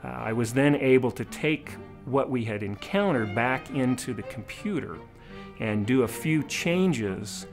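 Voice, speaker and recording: A man's voice: mid-range voice, animated, a little slow, vocal fry. Middle-aged male engineer, documentary interview, formal technical delivery, faint music underneath. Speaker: director of engineering. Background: background music.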